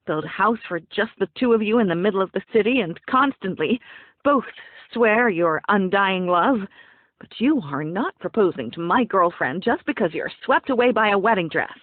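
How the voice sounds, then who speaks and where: A long-suffering boyfriend acted by a woman reader makes his case with melodrama in storybook dialogue. The pitch is medium for a woman, and the recording is dry with slightly dulled sound. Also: dry recording, phone-quality sound.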